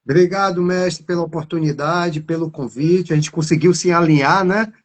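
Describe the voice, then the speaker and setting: A man's voice: on the high side, fairly even intonation, normal speed. A man from Salvador with a Bahian accent, talking at length as an interview guest and filling his speech with little tag words. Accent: Bahian Brazilian Portuguese. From Salvador, Bahia, Brazil. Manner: warm, rambling, many fillers like né and tá. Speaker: capoeira master.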